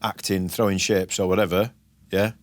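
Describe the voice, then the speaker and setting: A man's voice: low-pitched, level tone. A middle-aged man with a Yorkshire accent, a head teacher speaking firmly and plainly about his school and pupils. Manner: firm, plain-spoken, measured. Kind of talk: documentary interview and school talk.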